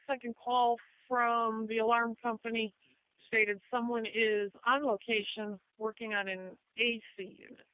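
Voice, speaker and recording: A woman's voice: fairly high pitch, flat delivery, unhurried, no echo. A woman working as a county fire dispatcher, relaying and repeating details in a clipped, procedural way over radio. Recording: tinny narrowband emergency radio.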